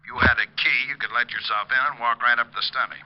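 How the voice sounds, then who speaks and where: A man's voice: medium pitch, fairly even intonation, fast talker, lightly acted. An American man playing a matter-of-fact, put-upon police lieutenant in a 1940s radio drama. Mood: exasperated. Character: exasperated, plain-spoken male police lieutenant. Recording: dull old radio recording.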